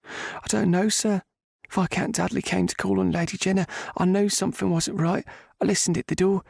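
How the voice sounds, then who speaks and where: A man's voice: fairly even intonation, vocal fry, darkish tone, no room sound, studio quality, heavily acted. A man doing a shaky frightened old maid. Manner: high, shaky, rushed. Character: frightened older female lady's maid.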